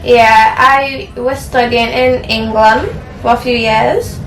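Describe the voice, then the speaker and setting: A woman's voice: fairly high pitch, slow pace, slight background noise. A young woman with a Vincentian accent, interviewing a guest over a casual call. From Saint Vincent and the Grenadines. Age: young adult. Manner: relaxed, chatty, asks questions plainly. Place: at work. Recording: echoey room, distant from mic, voices around.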